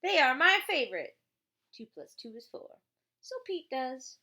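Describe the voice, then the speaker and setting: Bright, very animated woman reading a storybook. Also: high voice, measured pace. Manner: playful, chatty, prompting the child with questions. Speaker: teacher reading a picture book aloud to a child. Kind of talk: animated children's storybook read-aloud with casual asides.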